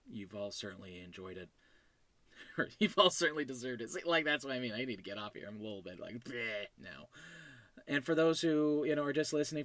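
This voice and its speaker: Man, casual unscripted host monologue, emotional and overjoyed, effusive, halting excited stammer, mid-pitched voice, dry recording. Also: no echo. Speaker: host and creator of an animated web series.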